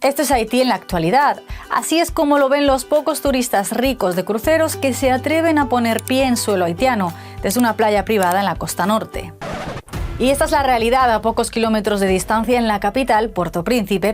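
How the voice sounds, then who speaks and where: A woman giving scripted documentary narration in a clear, even, polished way. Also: on the high side, normal speed, faint music behind.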